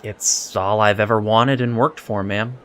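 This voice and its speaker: A man's voice: fairly low pitch, fairly even intonation, unhurried, a bit bright. Earnest, hesitant young alien cadet, played by a man. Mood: hopeful. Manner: hesitant, polite, precise wording with many ums. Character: earnest young male alien Starfleet cadet, eager and scholarly. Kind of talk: audio drama interview acting.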